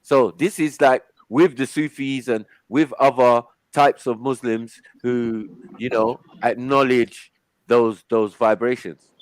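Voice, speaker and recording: A man's voice: medium pitch, fairly even intonation, slow, no echo, rough recording. A man hosting a heated casual panel discussion, who talks over others and keeps saying "hold on" to keep the floor. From Africa. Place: online call.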